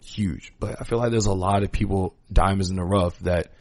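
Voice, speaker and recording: A man with a low voice talks casually as a podcast host in a dry-sounding space. He is earnest and opinionated, leaning on slang and repeating his points for emphasis. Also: fairly even intonation, moderate pace, a little mellow, no room sound. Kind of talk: casual conversational podcast talk.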